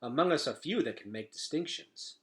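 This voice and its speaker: Give me a reader playing a mild, formal storybook man with measured archaic speech. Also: medium pitch, animated, natural voice. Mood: thoughtful. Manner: measured, archaic inverted phrasing. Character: formal, mild-mannered man of an underground kingdom.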